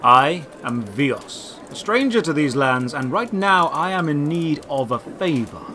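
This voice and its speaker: Guy doing a gruff old mysterious wanderer, fantasy audio drama, slow n deliberate, bit of background noise. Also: fairly high pitch, sing-song, sharp tone, heavily acted. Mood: solemn, mysterious. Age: older. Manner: slow, deliberate, measured delivery. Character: tall old robed man, mysterious, cold yet calming.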